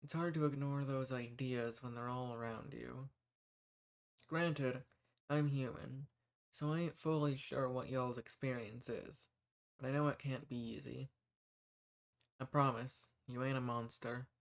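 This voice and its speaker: A man's voice: medium pitch, fairly even intonation, a little slow, creaky. A man playing a kind, folksy human rebel who earnestly reassures and shelters monsters in a scripted audio roleplay. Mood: gentle, comforting. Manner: folksy, reassuring, earnest, uses y'all and ain't. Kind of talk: scripted audio roleplay, speaking softly to the listener.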